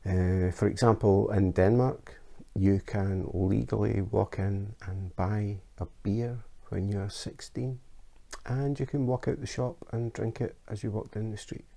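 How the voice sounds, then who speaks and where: Scottish man, gravelly voice, low pitch, chatty how-to vlog host. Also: unhurried. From Scotland. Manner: chatty, relaxed, with frequent ums and uhs. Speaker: YouTube craft channel host. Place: his home in Denmark.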